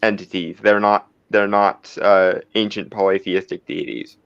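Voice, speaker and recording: A man's voice: low voice, fairly even intonation, measured pace, creaky, clear, resonant tone, no room sound, lo-fi sound. A man playing in a roleplay game, explaining and correcting in casual talk with stammering restarts. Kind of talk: casual group chat.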